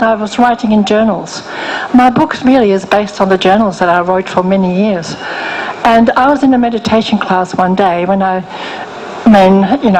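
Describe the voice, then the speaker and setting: Older woman with a soft voice, gentle and frank, pausing and restarting; interview guest, faint hum behind. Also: mid-range voice, low-quality recording. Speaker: guest author. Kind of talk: interview, personal story.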